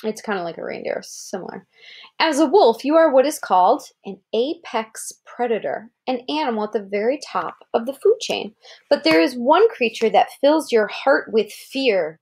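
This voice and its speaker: A woman's voice: on the high side, expressive, normal speed, a little mellow. An American woman reading a children's article aloud like a parent, expressively, with explanatory asides and questions to check understanding.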